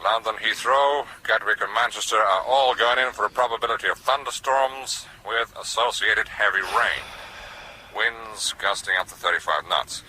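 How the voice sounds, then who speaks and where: An actor playing a businesslike, unflappable airline operations officer in a radio drama, formal and matter-of-fact. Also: male voice, fairly low pitch, normal speed, sharp tone, slight background noise, rough recording. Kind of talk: broadcast radio drama acting.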